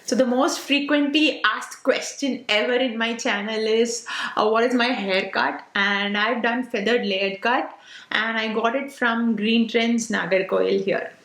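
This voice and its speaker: A woman's voice: a little high, steady tone, unhurried, fairly bright tone. A woman in her late twenties with an Indian English accent, a Tamil speaker, answering viewer questions in a casual vlog, talking loosely with lots of "uh" and "like". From South India. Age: 20s. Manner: chatty, many fillers like "uh" and "like". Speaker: beauty vlogger. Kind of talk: casual Q&A to camera. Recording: roomy echo.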